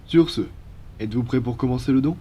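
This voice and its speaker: A man plays a well-mannered vampire in an audio roleplay. The voice is mellow and breathy, with a formal, apologetic courtesy. He speaks politely and reassuringly, like a gentleman of his kind. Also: medium pitch, brisk, natural voice. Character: courteous, apologetic male vampire from a blood-donation foundation. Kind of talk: ASMR roleplay acting.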